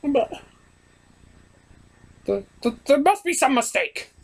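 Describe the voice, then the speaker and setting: A man's voice: extremely high pitch, lively intonation, slow pace, voice pushed up, exaggerated voice. A man voicing a self-important, indignant chaos spirit in a puppet show parody, with a pompous, theatrical manner. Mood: indignant, hurt.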